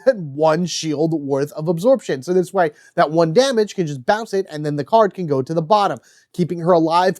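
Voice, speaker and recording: A man's voice: fairly high pitch, a little mellow, dry recording, clean studio sound. A man with a nasal, stuffed-up voice from a cold, chatting enthusiastically through a card-by-card board game breakdown and reading card text aloud. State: congested with a cold.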